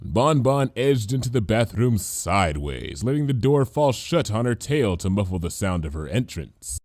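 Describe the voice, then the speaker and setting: A man's voice: lowish voice, very animated, moderate pace, darkish tone, no echo. A man reading a story aloud as narrator, in a scripted storybook style. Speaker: fan fiction reader, narrator.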